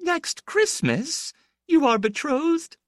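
A tender, fussing older lady, as a male narrator puts her on who murmurs comfort in a high voice that leaps up and down. She talks slowly and anxiously. The reading is close and dry. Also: huge pitch swings, no room sound, full character voice. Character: anxious, motherly older woman. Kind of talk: audiobook character voice.

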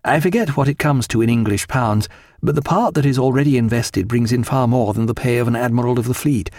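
A man voicing a learned, dry-humoured ship's surgeon and fond husband, with formal, measured period phrasing. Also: mid-range voice, darkish tone, dry recording, lightly acted. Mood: reassuring, wry.

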